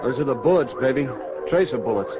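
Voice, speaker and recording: An actor playing a blunt young American sailor, casual, slangy and knowing, in a 1940s radio drama. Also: male voice, mid-range voice, animated, fast talker, natural voice. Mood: offhand. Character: blunt young sailor talking to his sweetheart. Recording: muffled vintage radio with music behind.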